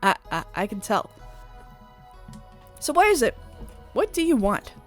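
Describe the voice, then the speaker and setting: A woman's voice: fairly high pitch, very animated, unhurried. A woman voicing a prickly female idol superfan in a visual novel, blunt, indignant and sceptical. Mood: grudging, snippy. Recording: music playing behind the voice.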